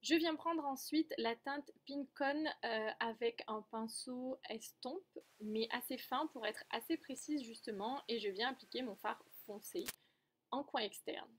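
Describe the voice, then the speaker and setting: Female beauty vlogger, fairly high voice, casual step-by-step tutorial delivery, chatty and self-correcting. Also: a little slow.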